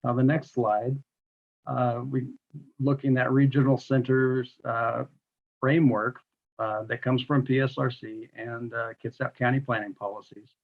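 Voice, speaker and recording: A man working as a long range planner, with a raspy voice, reading out planning policy at a public meeting. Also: mid-range voice, level tone, unhurried, narrow, tinny audio.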